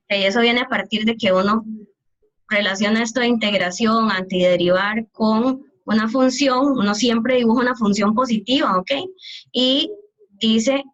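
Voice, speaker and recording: A woman's voice: fairly high pitch, measured pace, pauses often, muffled recording. A woman math teacher giving an online calculus class, explaining step by step and often checking that her students follow.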